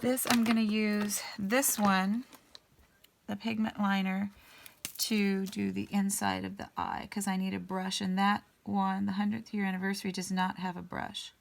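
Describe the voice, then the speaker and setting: A woman hosting a casual coloring session, a little raspy as she gets over an illness, thinking aloud in an unhurried way with many ums. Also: mid-range voice, flat delivery. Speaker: artist and channel host. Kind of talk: casual color-along chat.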